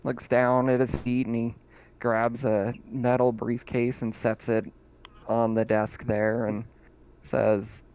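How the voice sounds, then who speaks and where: A man's voice: mid-range voice, flat delivery, takes it slowly, darkish tone, slight background noise, rough recording. A man acting as game master, casually narrating a tabletop roleplaying game.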